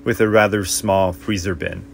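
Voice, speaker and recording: Low-voiced man narrating a boat tour. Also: steady tone, normal speed, creaky, faint music behind. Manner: plain, matter-of-fact listing of equipment. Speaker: boat owner giving a tour.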